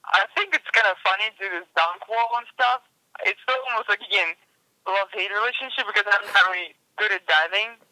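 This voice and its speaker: A man with a Russian accent, raised in Siberia, speaks in a high, bright, tense voice. He chats in halting, searching phrases and breaks into giggles often. The sound is dry and close. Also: no echo, low-quality recording. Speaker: obstacle racer, guest. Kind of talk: casual interview chat.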